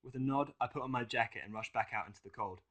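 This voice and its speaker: A man's voice: mid-range voice, fast, fairly bright tone. Casting: male narrator, middle register, for scripted first-person audio fiction.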